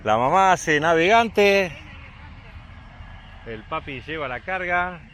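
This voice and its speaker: A man's voice: a little high, expressive, slow, fairly bright tone, a little hiss or hum. A man from Argentina with an Argentine accent, narrating a family walking trip outdoors in a chatty, upbeat way and encouraging his young son. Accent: Argentine Spanish. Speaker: vlogger and father. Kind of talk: travel vlog narration while walking. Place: outdoors on a rural walking trail.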